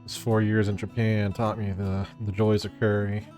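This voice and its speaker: A man's voice: lowish voice, little pitch movement, moderate pace, darkish tone. A man giving casual gameplay commentary as a streamer, offhand, slangy and full of swearing. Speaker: game streamer. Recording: game music behind the voice.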